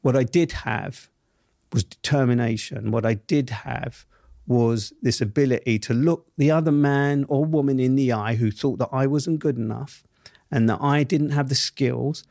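A middle-aged man with a South East London accent, giving reflective interview answers in a measured, emphatic way with slow, deliberate stresses. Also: medium pitch, gravelly, a little mellow, no echo, clean studio sound. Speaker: interview guest.

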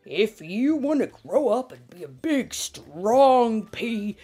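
Woman as storybook pea dad: firm, instructive parent, unhurried delivery, light music behind. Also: on the high side, sing-song, warm tone, slightly rough, full character voice. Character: father pea, firm parent laying down rules.

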